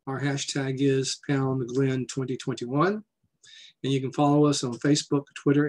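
A man's voice: medium pitch, a little mellow. A man in his sixties, president of a society, welcoming attendees and introducing a speaker over a video call in a loose, joking way with fillers. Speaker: society president hosting a symposium. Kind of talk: casual conference welcome and introduction. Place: home room, indoors.

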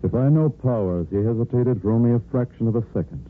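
An actor playing a kindly older hospital chief of staff in a 1950s radio soap, reassuring and paternal. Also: male voice, mid-range voice, fast, natural voice. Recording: muffled, noisy 1950s radio recording.

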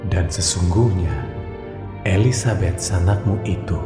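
A man voicing a solemn male angel messenger, speaking in a slow, grave, proclaiming way. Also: low-pitched, pitched below the performer's own voice. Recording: echoey hall reverb with music. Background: music.

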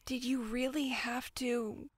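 A woman playing an earnest, easily wounded teenage girl in an animated drama, speaking in a halting, emotional way. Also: fairly high pitch, husky. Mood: quiet, hurt.

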